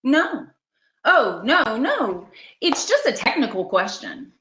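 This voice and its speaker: A woman's voice: sits high, wildly swooping pitch, slow pace, fairly bright tone, heavily acted. A woman playing an uneasy, haunted motorist driving alone, telling her story dramatically in an amateur radio thriller. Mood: guarded, polite. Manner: dramatic first-person storytelling. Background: music.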